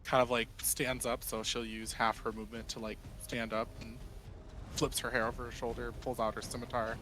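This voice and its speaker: A man's voice: medium pitch, level tone, fairly quick, bright, forceful tone, soft background music. A man playing a tabletop role-playing game, chatting casually and describing his character's moves. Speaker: player.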